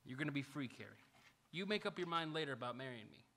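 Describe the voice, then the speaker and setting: Man playing a quick-talking, earnest cowboy. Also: fairly high pitch, animated, sharp tone. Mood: earnest, defiant. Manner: folksy rural speech, polite but stubborn. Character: earnest, gallant, unarmed cowboy standing up for his sweetheart. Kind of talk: live radio Western acting. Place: auditorium stage, live radio theatre performance.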